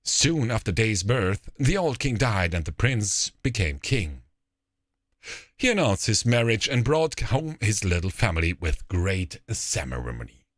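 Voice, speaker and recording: Male fairy tale narrator, slow and expressive, recorded dry and close. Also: on the low side, no echo. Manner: deliberate, expressive phrasing. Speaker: storyteller.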